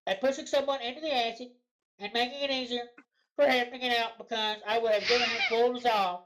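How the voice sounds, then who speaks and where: Woman, high pitch, sarcastic, argumentative, mocking dramatic read-aloud of forum posts. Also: steady tone, fairly quick, fairly bright tone, rough recording. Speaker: co-host.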